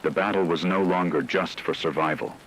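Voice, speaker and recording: Male narrator for apocalyptic drama: deep register, grave measured read, light noise bed behind. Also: fairly bright tone, rough recording.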